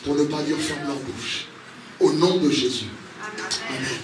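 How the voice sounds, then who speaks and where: High-voiced male preacher in a roomy space. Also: unhurried. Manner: emphatic, repeats phrases, exhorting. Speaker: pastor preaching and praying over a congregation. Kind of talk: spontaneous charismatic sermon with prayer. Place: large echoey room, church service. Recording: roomy, distant mic, noisy background.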